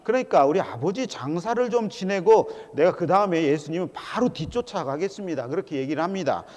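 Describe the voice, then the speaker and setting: A middle-aged man preaching a sermon as a pastor, animated and acting out quoted voices, calling out to the congregation. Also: high-pitched, fairly quick. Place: large church sanctuary. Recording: long hall reverb of a church.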